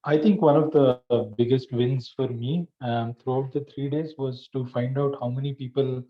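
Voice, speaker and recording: A man's voice: mid-range voice, fairly even intonation, normal speed, slight background noise. A man talking casually to colleagues in a meeting, phrasing things piecemeal and a little hesitantly. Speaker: meeting participant. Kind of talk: casual anecdotal meeting talk. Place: online video call. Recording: slightly dull call audio.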